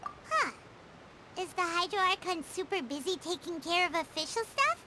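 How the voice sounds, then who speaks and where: Squeaky, very high childlike fairy companion. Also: female voice, big pitch swings, moderate pace, heavy background noise. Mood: curious, surprised. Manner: refers to herself in third person. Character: small floating childlike fairy companion, curious and excitable. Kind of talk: animated game dialogue. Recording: loud music behind.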